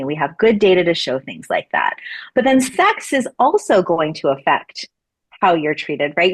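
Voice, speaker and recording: A woman in her forties from Florida, a laboratory medicine researcher talking casually as an interview guest, with many fillers and restarts. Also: medium pitch. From Florida, USA. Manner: chatty, many fillers and restarts, laughs easily.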